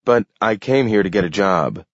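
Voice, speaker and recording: A man's voice: on the low side, lively intonation, normal speed, no room sound. A man voicing a proud, anxious job seeker who talks in a hesitant, formal way. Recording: dull lo-fi recording.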